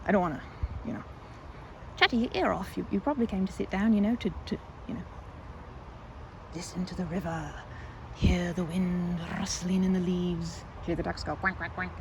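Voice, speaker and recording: A woman playing a timid, excitable park regular in an ASMR roleplay, stammering and chattering apologetically in a one-sided conversation. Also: lowish voice, normal speed, pauses often, background noise, lightly acted. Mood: shy, chatty. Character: timid, rambling young woman, excitable park regular who loves ducks.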